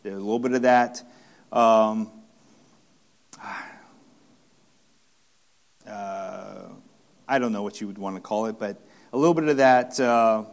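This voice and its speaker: A man preaching an expository sermon, speaking haltingly with many restarts, fillers and repeated phrases for emphasis. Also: lowish voice, creaky. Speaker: pastor.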